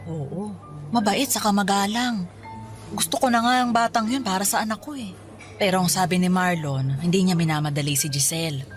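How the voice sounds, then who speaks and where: A woman's voice: fairly low pitch, a little fast, soft background music, lightly acted. An actress playing a caring middle-aged mother chatting with her grown son in a radio drama. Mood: motherly.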